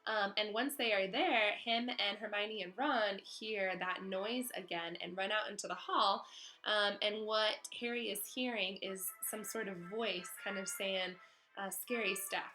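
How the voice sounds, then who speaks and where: A woman with a medium-pitched voice reads a storybook aloud as a teacher would. Her delivery is expressive, with casual explanatory asides. Music can be heard in the recording. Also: low-quality recording. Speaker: teacher reading aloud to pupils.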